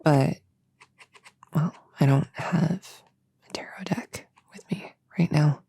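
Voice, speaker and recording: A woman playing a friendly, slightly bumbling novice witch in a soft-spoken ASMR roleplay, breathy and often whispering, with a hesitant, casual way of talking. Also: low-pitched, slow pace, no echo, full character voice. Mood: musing, casual. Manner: hesitant, casual, self-correcting.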